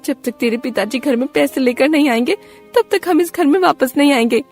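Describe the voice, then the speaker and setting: Woman acting an angry, shrill-pitched scolding wife. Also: a little fast, faint music behind. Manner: sharp, commanding.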